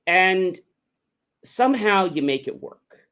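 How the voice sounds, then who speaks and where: A man's voice: high-pitched, animated, slow pace, bright, forceful tone, smooth. A man hosting a motivational livestream, talking in a casual, rambling, conversational way with emphatic asides. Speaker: livestream host, motivational speaker.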